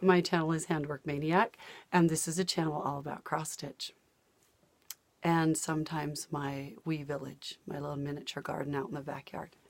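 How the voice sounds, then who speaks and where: A woman's voice: low voice, flat delivery, moderate pace. An older woman chatting casually to camera about her hobby, her voice partly worn out from days of talking, rambling and laughing easily. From United States. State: losing her voice. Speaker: cross-stitch vlogger. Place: home.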